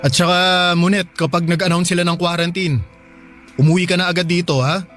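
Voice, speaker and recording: Worried, protective husband, male actor, Filipino, slightly high voice, fretful conversational radio drama delivery, faint music behind. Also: steady tone, a little fast, lightly acted. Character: anxious, protective provincial husband on a phone call. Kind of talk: naturalistic radio drama dialogue.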